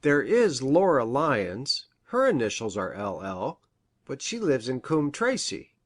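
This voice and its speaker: Audiobook role: woman playing male country doctor, very low register, animated contours, measured and deliberating. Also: exaggerated voice. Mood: pondering. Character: thoughtful country doctor, a gentleman of the moor. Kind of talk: audiobook character dialogue.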